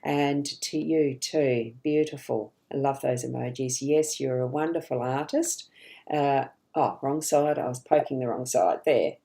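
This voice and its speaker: A middle-aged woman with a rough morning voice, chatting casually as she hosts a live card-reading stream and greets viewers. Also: rumbling low, steady tone, unhurried, mellow. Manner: chatty, greets viewers by name, frequent ums and restarts. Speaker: psychic card reader hosting a live stream.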